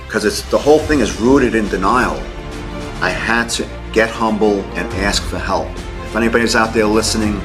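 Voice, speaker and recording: A man in his fifties with a New York accent, telling his personal story as an interview guest in a candid way with self-deprecating humor. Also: fairly low pitch. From Queens, New York, United States. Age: 50s. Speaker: podcast guest, sober companion.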